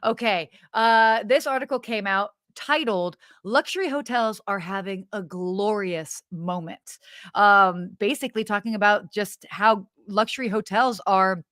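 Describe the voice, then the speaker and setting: A woman's voice: medium pitch, a little slow, a bit bright, no room sound, studio quality. A woman co-hosting a live travel show in casual chat. She is bubbly, laughs often, and trails off and restarts her sentences. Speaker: podcast co-host.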